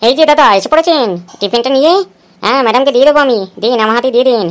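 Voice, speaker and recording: A woman's voice: high voice, rapid-fire, close to an everyday voice. A woman playing a cheeky office errand runner who is ingratiating and nosy.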